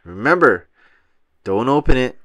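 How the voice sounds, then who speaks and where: A man's voice: mid-range voice, big pitch swings, a little slow, fairly bright tone, no room sound. Guy doing fussy cartoon sponge kid, eager and fretful, voice bouncing all over, dry sound. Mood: earnest. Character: excitable, fussy, anxious cartoon sea sponge boy.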